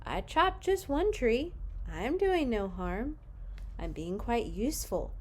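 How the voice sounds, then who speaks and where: A woman's voice: fairly high pitch, sing-song, a little slow. A woman voicing a brash, greedy male businessman in a children's storybook, boastful and dismissive. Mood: defensive. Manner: boastful, brushing off objections. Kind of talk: children's storybook read-aloud.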